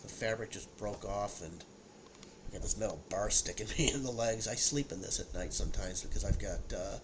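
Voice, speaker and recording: A man in his sixties, audibly congested and sniffing, rambles through a relaxed home whiskey tasting. His voice sits on the low side, and he trips over repeated words and fillers. A clear hiss runs underneath the recording. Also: a little fast. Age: 60s. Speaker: whiskey review vlogger. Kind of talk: casual solo tasting chat.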